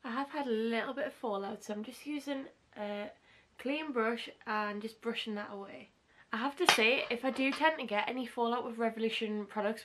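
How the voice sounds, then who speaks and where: Young woman beauty vlogger, slightly higher voice with a husky edge, gushing and chatty, talking close to camera in a bedroom. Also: a bit bright. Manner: chatty and gushing, heavy use of "like". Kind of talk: casual makeup tutorial chat. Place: small bedroom.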